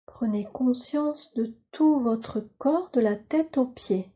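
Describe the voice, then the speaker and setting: A woman teaching yoga nidra, leading a guided relaxation in a calm, soothing, instructional way with measured phrases. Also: fairly high pitch, breathy edge, muffled recording.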